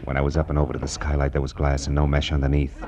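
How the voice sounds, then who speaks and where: A man's voice: completely flat delivery, racing, background noise. An American man playing a wry, world-weary private detective, narrating in clipped 1940s radio noir style. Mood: tense, urgent. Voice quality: deep voice. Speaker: narrator and lead actor. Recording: muffled old radio broadcast recording. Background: dramatic music.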